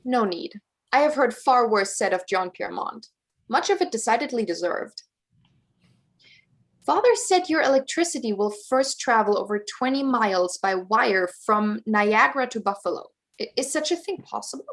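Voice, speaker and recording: Woman acting modest young society heiress, slightly high voice, candid, formal, a little hesitant, period stage drama. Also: expressive, normal speed, fairly bright tone. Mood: polite, candid. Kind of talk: play reading, period drama. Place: indoors, remote online reading.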